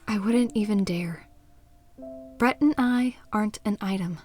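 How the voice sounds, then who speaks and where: A woman voicing a caring, loyal young woman in a visual novel, speaking with earnest, gentle candor. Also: medium pitch, measured pace, faint music behind, natural voice.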